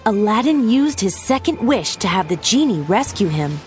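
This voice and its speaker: A woman reading a children's storybook aloud as narrator, with expressive scripted storytelling delivery. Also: medium pitch, normal speed. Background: background music.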